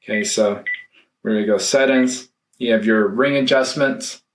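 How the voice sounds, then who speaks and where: A man giving a casual, hands-on product review, thinking aloud in a halting way. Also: lowish voice. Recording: roomy echo, faint background noise.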